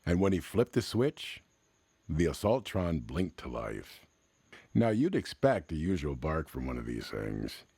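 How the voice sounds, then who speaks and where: A man narrating a scripted story in the manner of classic radio drama, somber and measured, with dramatic pauses between short phrases. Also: very animated, dark tone, no echo. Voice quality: very deep, gravelly, husky.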